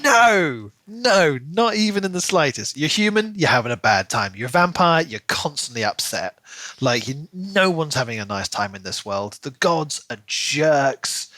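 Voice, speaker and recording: A man's voice: a little high, a bit bright, dry recording. A man co-hosting a podcast, chatting casually about books and repeating words emphatically as he builds his point. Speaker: podcast co-host. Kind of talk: casual book discussion.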